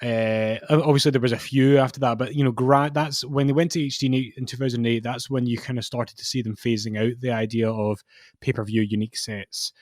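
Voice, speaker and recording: A man's voice: mid-range voice, steady tone, a little fast, a little mellow, no echo, clean studio sound. A man co-hosting a podcast, chatting casually with stammered restarts and fillers. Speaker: podcast co-host. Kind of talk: casual chat.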